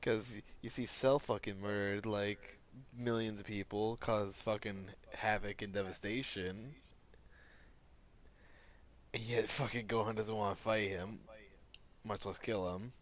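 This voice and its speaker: A man's voice: on the low side, steady tone, measured pace. A man chatting casually while he plays a game. He swears constantly, laughs often and restarts his words as he rants. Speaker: streamer. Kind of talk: casual chat while gaming.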